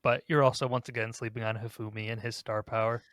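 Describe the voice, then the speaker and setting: A man's voice: medium pitch, little pitch movement, quick pace, fairly bright tone, dry recording, studio quality. A man hosting a casual panel discussion, talking in an informal, conversational manner. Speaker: host of a ranking podcast.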